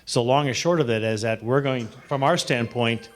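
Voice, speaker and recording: A man's voice: medium pitch, normal speed. Matter-of-fact man in a group meeting. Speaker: campground owner. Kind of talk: casual group discussion. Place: meeting room.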